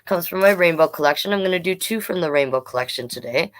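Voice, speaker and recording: A woman with a Canadian accent reading picture books aloud to children, playful and expressive, with chatty asides. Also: on the low side, fast talker. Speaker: drag performer hosting children's storytime.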